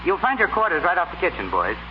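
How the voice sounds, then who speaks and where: Nice polite rich young fella, highish voice, muffled old radio drama. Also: male voice, huge pitch swings, quick pace, a little hiss or hum. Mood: affable. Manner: polite, friendly. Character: genial, well-bred young gentleman of the house. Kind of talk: 1930s radio drama acting. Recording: muffled 1930s radio recording.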